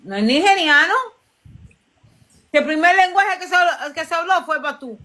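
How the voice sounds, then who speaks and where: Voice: high-pitched, expressive, moderate pace, pushed and bright. A speaker in a casual religious debate who keeps pressing questions insistently.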